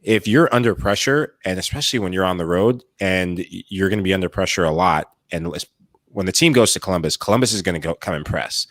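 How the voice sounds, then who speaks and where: A man's voice: sits low, quick pace, no room sound. A man co-hosting a soccer podcast, talking through tactics in long flowing runs and acting out what players and coaches might say. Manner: animated, talks in long flowing runs with acted-out quotes. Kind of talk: casual analytical chat.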